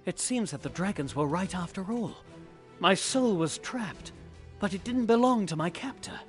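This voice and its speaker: An actor playing a calm, mysterious freed soul in a fantasy game, speaking solemnly. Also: male voice, high voice, normal speed, faint music behind. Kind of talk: fantasy video game voice acting.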